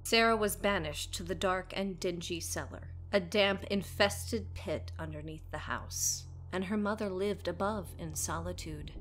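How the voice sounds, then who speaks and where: A woman narrating a scripted horror story as a storyteller, dramatic and deliberate, dropping at times toward a whisper. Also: fairly low pitch, fairly bright tone, a little hiss or hum, poor audio.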